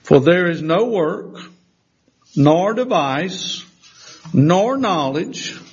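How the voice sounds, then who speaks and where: An older preacher in his sixties delivers a folksy sermon, leaning into emphatic rises and trading lines with his congregation. His voice is husky and sits fairly high for a man. The church recording sounds muffled and lo-fi. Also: lively intonation, drawn-out words. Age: 60s.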